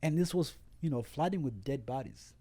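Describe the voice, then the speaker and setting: A man's voice: medium pitch, animated, fairly quick, warm tone. A man of about forty from Uganda with an East African accent, telling his own story emphatically as a podcast guest. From Uganda, Rwandan refugee family. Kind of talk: personal testimony in an interview.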